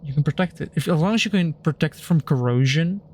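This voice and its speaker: A young man on a stream, explaining technical points in a dry, deadpan way with puns. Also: a little high, fast talker, a little hiss or hum. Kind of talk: casual chat while gaming.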